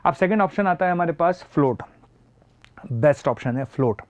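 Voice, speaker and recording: A man's voice: fairly high pitch, animated, normal speed, no room sound. A man with a sore throat explaining an admissions process as a teacher, repeating key points emphatically and mixing in phrases. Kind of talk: explanatory lesson.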